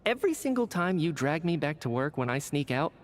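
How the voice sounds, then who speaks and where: A man's voice: fairly high pitch, very animated, a little fast, long reverb tail. A man playing a playful, work-shy scientist in a video game, with a breezy, teasing, theatrical delivery. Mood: amused.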